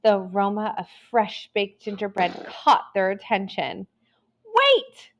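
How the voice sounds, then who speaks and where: A woman storyteller reading a children's tale aloud in an expressive, deliberate way with dramatic emphasis. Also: medium pitch, slight background noise.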